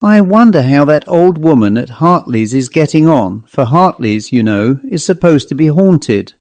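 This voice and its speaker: A man's voice: a little high, very animated, moderate pace, darkish tone, dry recording. A man voicing a gossipy, matter-of-fact country woman in a storybook reading, conversational and genteel.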